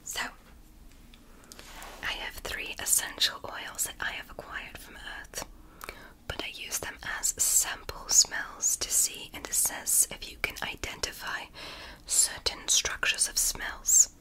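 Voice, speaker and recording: A woman voicing a calm, clinical female alien doctor in a whispered ASMR roleplay. She speaks softly and reassuringly, with precise, measured instructions. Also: low-quality recording. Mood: soothing.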